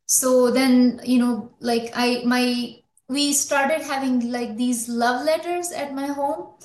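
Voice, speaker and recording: A woman's voice: sits high, steady tone, takes it slowly, darkish tone, a little hiss or hum. A middle-aged woman from Pakistan, a physician guest recalling her childhood in a rambling way, with many fillers and restarts. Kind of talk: conversational interview answers. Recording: echoey room.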